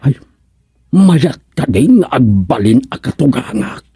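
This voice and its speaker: An actor with an Ilocano accent playing a flustered, lovestruck comic village suitor in a radio comedy, stammering and exclaiming. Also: male voice, medium pitch, sing-song, measured pace, vocal fry, mellow, husky, no room sound, studio quality, exaggerated voice.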